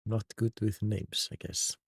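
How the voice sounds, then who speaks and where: A man's voice: on the low side, flat delivery, unhurried, creaky, fairly bright tone, slightly rough. A man from Iceland with an Icelandic accent, a native Icelandic speaker, chatting casually on a live stream, reading out and greeting viewers one by one. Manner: reads chat aloud and greets viewers one by one.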